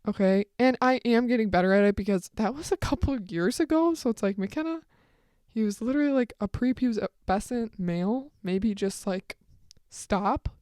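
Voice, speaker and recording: A teenage girl chats through a rambling story on her solo podcast, full of side comments. Her voice is smooth and clear with a slightly deep tone, recorded close and dry with no room sound. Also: moderate pace, darkish tone, dry recording. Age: teens. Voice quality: fairly deep voice for a woman. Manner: rambling, chatty, full of asides. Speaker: podcast host.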